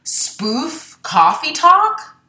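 A young woman stand-up comedian from Florida, chatting casually and rambling with quick self-deprecating asides. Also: high-pitched, big pitch swings, bright, forceful tone, roomy sound. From Florida, born in Baltimore. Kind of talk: casual interview chat.

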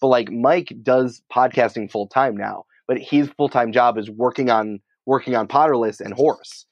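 A man's voice: medium pitch, moderate pace, dry recording, dull audio. A man speaking as a guest in a casual interview, explaining things in an informal, colloquial way. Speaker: podcast company creative head, interview guest.

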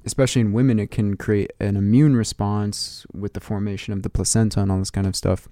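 A man's voice: on the low side, flat delivery, quick pace, warm tone, no echo, clean studio sound. An American man hosting a podcast, chatting casually in a colloquial, musing way. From United States. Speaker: podcast host. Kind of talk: casual chat.

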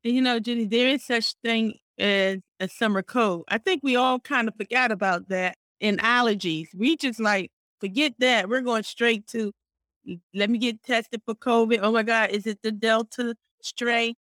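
A middle-aged woman co-hosting a casual podcast, expressive and animated, speaking warmly about faith and community. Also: a little high, moderate pace, no room sound. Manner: warm, expressive, talks about faith and blessings; frequent fillers and restarts, animated storytelling. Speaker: podcast co-host.

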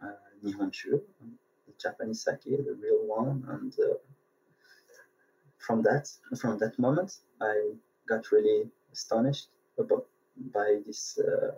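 A man's voice: fairly low pitch, a little slow, vocal fry, dark tone, slightly rough, rough recording. A man from France and Tunisia, a guest answering interview questions, hesitant and searching for words, with many fillers. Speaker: guest, sake brewer.

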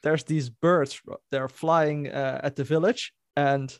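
A man's voice: a little high, fairly even intonation, takes it slowly, clear, resonant tone, no echo, studio quality. A Dutch-speaking man in a band, telling a story in a casual interview and laughing as he goes. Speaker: band member.

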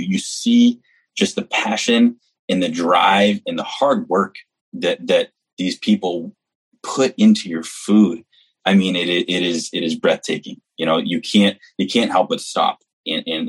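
A deep, husky male voice from New Hampshire, talking with earnest enthusiasm as an interview guest. He runs on in long, eager stretches of casual conversation. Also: poor audio. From New Hampshire, US East Coast. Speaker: executive chef, guest.

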